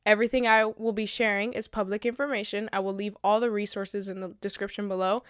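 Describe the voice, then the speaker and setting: A woman's voice: mid-range voice, clean tone, no echo, studio quality. Congested woman hosting a commentary channel. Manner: earnest, blunt, reads from notes. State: congested from recent sickness. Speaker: channel host. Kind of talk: casual commentary video.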